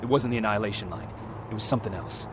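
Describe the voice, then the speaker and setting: An actor playing a weary young Resistance private in a video game, terse, low-key and serious. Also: male voice, very fast, noisy background, natural voice. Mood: subdued, grave. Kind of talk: cinematic video game acting.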